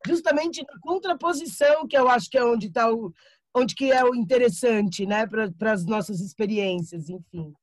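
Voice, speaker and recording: A woman's voice: fairly high pitch, normal speed, dry recording. Hesitant woman thinking aloud, dry sound. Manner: thoughtful, hesitant, many fillers. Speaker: student in an acting course. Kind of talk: reflective class discussion.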